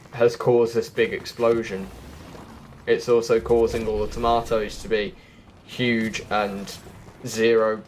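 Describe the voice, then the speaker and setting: A man's voice: medium pitch, slight background noise. A man giving casual, chatty walkthrough commentary as a gaming video host, often thinking aloud. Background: background music.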